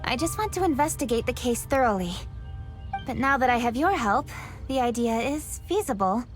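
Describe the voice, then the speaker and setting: An actress playing a calm, resolute young female investigator who speaks in a composed, measured way, in a game cutscene. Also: a little high, soft background music, close to an everyday voice. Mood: determined.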